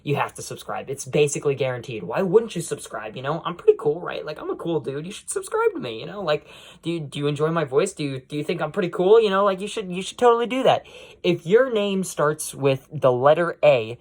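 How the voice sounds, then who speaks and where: A man's voice: a little high, sing-song, a little fast, darkish tone. A young man hosting a podcast in a casual, unscripted monologue, rambling along and often adding "you know". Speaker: podcast host.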